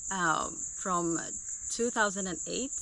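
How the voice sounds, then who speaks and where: Woman in her 30s, from Uganda, first language Luganda; relaxed guest explaining her work, lots of ums, recorded outdoors on a phone. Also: lowish voice, slow, vocal fry. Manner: explains at length with fillers. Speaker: nurse and YouTuber guest. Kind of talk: casual outdoor chat. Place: outdoors by nature trail.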